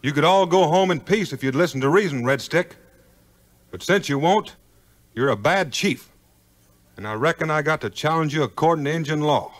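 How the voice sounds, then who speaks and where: A man playing a brave, easygoing frontiersman, folksy and unhurried, in a 1950s audio adventure. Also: fairly high pitch, natural voice. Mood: bold, challenging. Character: plain-spoken, brave, easygoing frontiersman hero. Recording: 1950s record, music behind.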